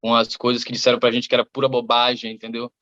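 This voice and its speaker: A man from Salvador speaks, talking slowly through his ideas as a musician in an interview. His voice sits at a middle pitch. The audio is a little dull and muffled, like a remote call. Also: completely flat delivery, sharp tone. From Salvador, Bahia, Brazil. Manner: reflective, rambling, many fillers. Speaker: musician guest. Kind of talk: interview answers.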